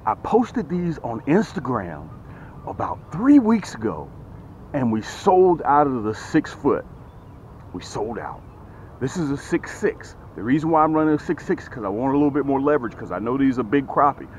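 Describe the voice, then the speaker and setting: A middle-aged man recording outdoors at a lakeshore, talking straight into a camera held close, pitching his fishing gear and repeating "okay?" and "guys" often. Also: moderate pace, a little hiss or hum. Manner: animated, repeats "okay?" and "guys", gestures with hands. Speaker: fishing vlogger and tackle seller. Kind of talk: energetic sales pitch and fishing vlog. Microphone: camera held close to the face.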